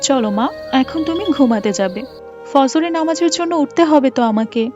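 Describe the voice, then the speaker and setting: A woman playing a gentle, devout, long-suffering mother in a moral cartoon, tender and preachy. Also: high-pitched, expressive, moderate pace. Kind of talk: Islamic moral cartoon voice acting. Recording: muffled, with music behind.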